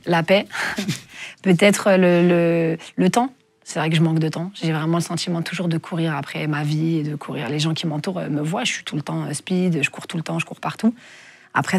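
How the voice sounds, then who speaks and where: A woman's voice: low voice, creaky, breathy edge, no room sound. A woman in her forties, a singer guest in an interview, speaking candidly and reflectively with many hesitations. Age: 40s. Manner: reflective, soft-spoken with frequent hesitations.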